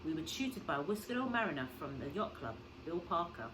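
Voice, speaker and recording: A woman reads a children's novel aloud in a slightly low voice. Her delivery is dramatic and very lively, swinging widely in pitch like a teacher telling a story to pupils. There is clear noise on the recording. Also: fast talker. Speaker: schoolteacher reading a story to pupils.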